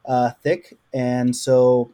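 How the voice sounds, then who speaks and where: Mellow flat-voiced Southern man, Oklahoma accent. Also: medium pitch, very slow. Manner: rambling, earnest, lots of likes. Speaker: podcast guest. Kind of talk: casual interview.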